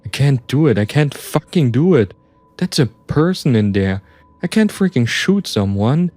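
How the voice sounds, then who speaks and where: A man's voice: mid-range voice, lively intonation, normal speed, breathy edge, no echo, pitched above the performer's own voice. A man voicing a panicked, reluctant criminal accomplice in an audio story, agitated and pleading. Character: panicked, reluctant male accomplice refusing to kill.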